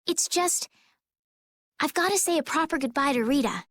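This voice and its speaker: A woman's voice: sits high, expressive, moderate pace, a bit bright, dry recording, clean studio sound. A woman voicing a polite, naive teenage noblewoman in a video game, sincere and eager. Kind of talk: English video game dub acting.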